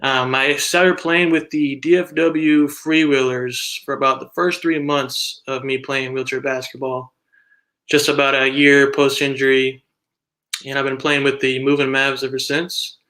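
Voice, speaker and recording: A young man from Texas introduces himself casually as a college athlete. His voice is bright and sharp, with little movement in pitch. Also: level tone, a little slow. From Texas, United States. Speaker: college wheelchair basketball player, guest.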